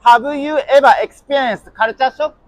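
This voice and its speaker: A man's voice: very high voice, normal speed. A Japanese man with a Japanese accent, interviewing people on the street with short polite questions and many thank-yous. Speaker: street interviewer and channel host.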